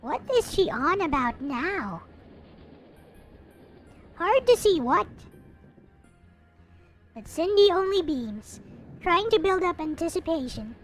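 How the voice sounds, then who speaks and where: Female narrator, high and lively, theatrical read-aloud with playful asides, music underneath. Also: takes it slowly, long pauses. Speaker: host reading a visual novel aloud. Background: background music.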